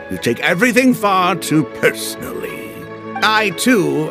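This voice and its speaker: A genial older merchant in a game cutscene, lilting all over the place as he hands out folksy advice. His slightly raised voice is slow and unhurried. He talks like a smooth peacemaker set on calming everyone down. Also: a little high, heavily acted. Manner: folksy, placating, dispenses worldly advice. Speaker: peacemaking tea house patron.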